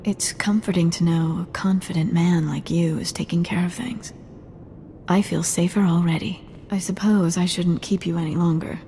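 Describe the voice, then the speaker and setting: An actress playing a flirtatious, glamorous aide to a crime boss in a video game, coy and teasing. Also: female voice, fairly low pitch, fairly even intonation, normal speed, warm tone, a little hiss or hum, close to an everyday voice. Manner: coy, teasing, smooth-talking. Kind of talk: scripted video game acting. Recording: game music behind the voice.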